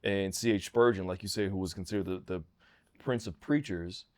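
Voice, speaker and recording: A young man hosting a podcast with his father outdoors in the evening, laid-back and slangy, quick to laugh. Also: low voice, darkish tone. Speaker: podcast host, son. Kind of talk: casual podcast chat. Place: outdoors at a home, Sunday evening.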